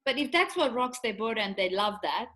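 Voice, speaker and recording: A woman's voice: a little high, animated, normal speed, bright, forceful tone. Chatty Romanian-accented woman. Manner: chatty storyteller, laughs often. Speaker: co-host. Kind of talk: casual chat.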